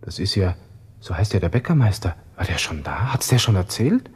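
Husky low-voiced young viennese army officer, hot-headed and self-absorbed, nervy muttering to himself, radio play. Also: male voice, very animated, fairly quick, heavily acted. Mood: alarmed. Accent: Viennese Austrian. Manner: agitated muttering to himself, switching to feigned casualness. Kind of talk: radio drama interior monologue.

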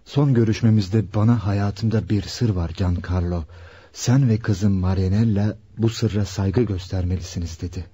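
An actor playing an earnest, questioning lawyer in a radio play. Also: male voice, lowish voice, steady tone, moderate pace, mellow, slightly rough. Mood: grave. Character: earnest, puzzled male lawyer and fiancé. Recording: dull, muffled old radio recording.

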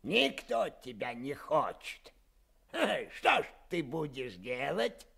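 A man playing a gloomy, taunting old grandfather spirit in an audio fairy tale, creaky and menacing, drawing out his words. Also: a little high, huge pitch swings, bright, forceful tone, full character voice. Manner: drawn-out, menacing.

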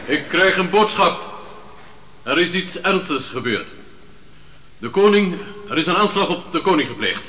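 An alarmed judge in a radio drama, proclaiming urgently in a high voice. The old recording is echoey and very noisy. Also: male voice, expressive, unhurried, pauses often. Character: alarmed male judge halting a court session. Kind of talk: Dutch radio drama acting.

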